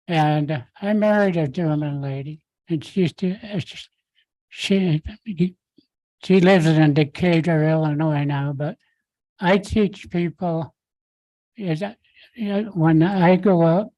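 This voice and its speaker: An older man speaking at a council meeting's public comment, halting and rambling with many fillers. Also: on the high side, mellow, no echo, lo-fi sound.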